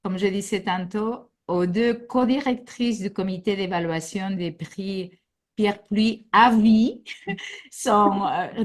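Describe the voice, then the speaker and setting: A woman directing a research group, opening a webinar over a video call, hesitant with many fillers. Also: mid-range voice. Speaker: webinar host, program director. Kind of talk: live webinar introduction.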